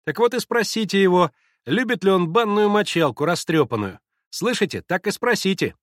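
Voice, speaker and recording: Man putting on high-pitched excitable jeering schoolboy. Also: normal speed, no echo, clean studio sound. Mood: mocking. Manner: shouting, taunting. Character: jeering, excitable schoolboys around eleven, shouting.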